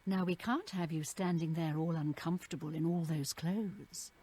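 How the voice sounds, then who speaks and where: A woman's voice: low-pitched, normal speed, warm tone, slightly rough. An actress playing a seductive, scheming female bandit in a fantasy video game. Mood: coy, seductive.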